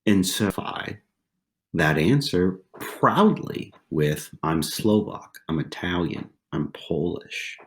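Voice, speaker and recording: Deep-voiced man telling stories. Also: sing-song, takes it slowly, creaky. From southwestern Pennsylvania, USA. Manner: conversational, repeats phrases, tags lines with "right?". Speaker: guest. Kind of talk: casual interview storytelling.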